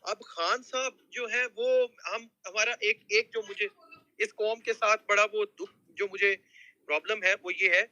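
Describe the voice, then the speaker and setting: High, sharp-voiced agitated Pakistani man. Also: fairly even intonation, unhurried, no echo, lo-fi sound. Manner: agitated, exhorting, repetitive. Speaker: political discussion participant. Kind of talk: urgent political speech in a live group audio discussion.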